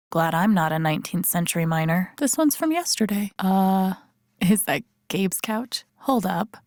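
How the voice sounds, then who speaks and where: A woman's voice: medium pitch, a little mellow, no echo. An actress with an American accent playing an introspective, wry young woman in a video game, murmuring dry asides to herself. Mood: curious. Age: young adult. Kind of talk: naturalistic game inner-monologue acting.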